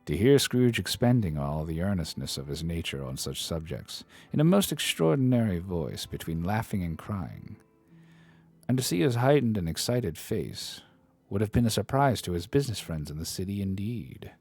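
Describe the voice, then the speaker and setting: A man's voice: sits low, very animated, moderate pace, darkish tone, breathy edge. A man reading a classic novel aloud on a live stream, narrating dramatically with self-deprecating asides. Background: faint study music.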